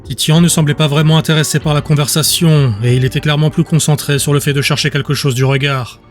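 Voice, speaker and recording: A man narrating scripted fiction as an audiobook, with a measured, descriptive storytelling manner. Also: a little high, steady tone. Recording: music under the voice.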